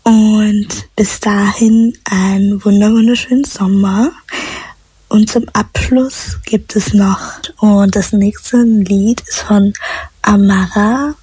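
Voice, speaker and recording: A woman hosts a community radio show and reads its closing notes slowly in a breathy, half-whispered voice. Her tone is dark and mellow. The sound is close and dry, with no room in it. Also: mid-range voice, steady tone, dry recording, rough recording. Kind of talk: scripted radio outro.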